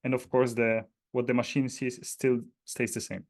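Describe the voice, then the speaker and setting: A man's voice: mid-range voice, little pitch movement, moderate pace, a little mellow. A man from Poland with a Polish accent, giving an informal technical walkthrough in a hesitant, explanatory way. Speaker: presenter demonstrating a data dictionary.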